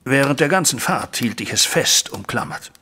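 An actor playing an anxious, respectable banker in an audio drama, recounting events in formal, wordy, deferential speech. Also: male voice, mid-range voice, animated, moderate pace, bright, forceful tone, husky. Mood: earnest, uneasy. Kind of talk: audio drama acting, narrating a flashback.

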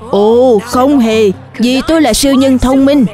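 High-voiced, vain boy superhero. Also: a little slow, a little mellow, faint music behind. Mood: boastful. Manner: self-important, dramatic. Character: vain, boastful young male superhero in a kids' cartoon. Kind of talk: dubbed cartoon acting.

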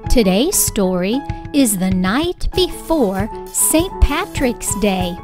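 A woman reading a children's story aloud with deliberate, expressive phrasing. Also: medium pitch, over music. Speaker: storybook reader. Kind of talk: children's read-aloud narration.

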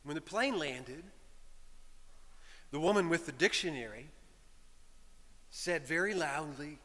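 A middle-aged man, a priest, telling a story in a sermon from the pulpit, animated and shifting between loud lines and hushed whispers. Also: fairly high pitch, takes it slowly. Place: large stone church, speaking from a pulpit. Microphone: pulpit microphone. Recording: long church reverb.

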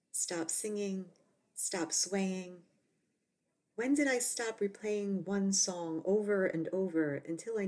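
A woman poet reading her poetry aloud in short, deliberate phrases with pauses. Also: lowish voice, dark tone.

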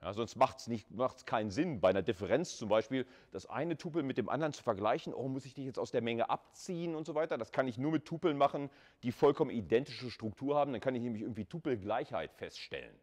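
A man's voice: medium pitch, animated, fast, a little mellow. A man lecturing at university in a conversational way, repeating words for emphasis and adding short checking tags. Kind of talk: informal screen-recorded lecture with live coding and slides.

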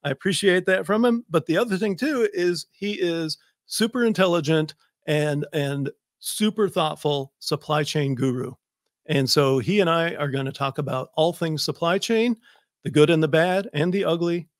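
American guy hosting a show, fairly high voice, dry close mic, chatty. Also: moderate pace, dry recording. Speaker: talk show host. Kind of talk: chatty show introduction and interview. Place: home office with bookshelves, indoors. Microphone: close desk microphone.

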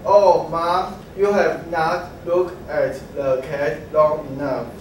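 A man's voice: a little high, level tone, muffled recording, slight background noise. A man reading as an eager little frog child. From Taiwan. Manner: slow, deliberate reading from a script. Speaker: student reader. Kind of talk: readers' theatre reading. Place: large classroom, standing in a row. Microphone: far camera. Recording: very echoey classroom from far off.

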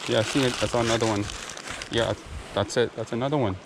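A man's voice: mid-range voice, steady tone, fast. A young man narrating a casual outdoor vlog in an informal way, exclaiming in short bursts, recorded outdoors. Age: young adult. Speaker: vlogger, fishing and cooking channel host. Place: outdoors, wading in a wooded river. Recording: outdoor recording with clear running water noise. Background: stream water and ambient noise.

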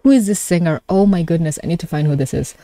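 A young adult woman doing casual live reaction commentary as a streamer, expressive and given to exclamations and swearing. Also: on the low side, fast.

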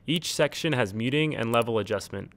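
A man reading a scripted instructional tutorial in a measured, neutral, step-by-step way. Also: mid-range voice, a bit bright. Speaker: tutorial narrator. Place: close, dry studio-style voice-over recording.

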